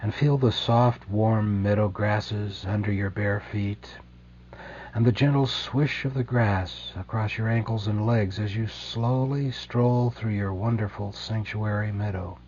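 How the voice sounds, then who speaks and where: Low, mellow man guiding a meditation. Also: steady tone, lo-fi sound, a little hiss or hum. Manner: slow, soothing, gentle delivery with deliberate pauses. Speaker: meditation guide. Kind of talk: guided meditation.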